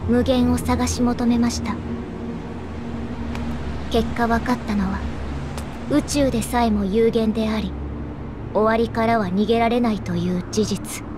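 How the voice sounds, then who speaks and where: A woman's voice: fairly high pitch, steady tone, measured pace, long pauses, noisy background, lightly acted. A woman voicing a sorrowful, eerily serene young female herald of doom in a game, with solemn, lyrical delivery and music underneath. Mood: solemn, mournful. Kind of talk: scripted dramatic game monologue.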